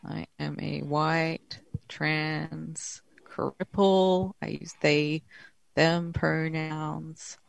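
A panel guest in their mid-twenties, born in Scotland and raised in Perth, introducing themself slowly and hesitantly. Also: mid-range voice, little pitch movement, vocal fry, dry recording. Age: 20s. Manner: slow, hesitant, with pauses. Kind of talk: casual self-introduction.

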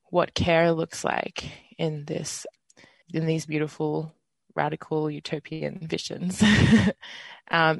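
A twenty-eight-year-old radio host with an Australian accent, leading a community panel in an earnest, relaxed way. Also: medium pitch, gravelly, no room sound. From Western Australia. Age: 20s. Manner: earnest, relaxed, with fillers and small laughs.

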